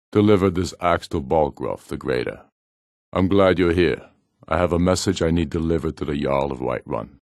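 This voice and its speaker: A proud Nordic warlord for a fantasy video game, with an extremely deep voice. He speaks like a ruler addressing his warriors, solemn, measured and imperious. The sound is close and dry. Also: gravelly, dry recording. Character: proud, commanding rebel warlord, a middle-aged Nordic jarl.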